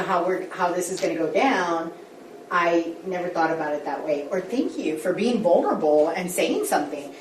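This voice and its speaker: A woman's voice: mid-range voice, slight background noise. A woman school board member speaking candidly, coaching colleagues through a group workshop in a meeting room. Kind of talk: workshop discussion. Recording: echoey room, distant mic.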